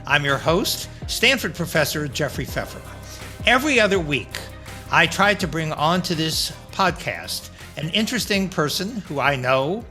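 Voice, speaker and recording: An older man hosting his own podcast, a professor who speaks in a deliberate, lecturing way with occasional "uh"s. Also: on the high side, pushed and bright. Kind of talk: podcast interview.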